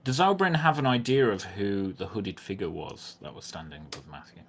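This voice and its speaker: A man's voice: on the low side, sing-song, fairly quick, fairly bright tone, husky. A man acting as game master, casually refereeing a tabletop roleplaying session.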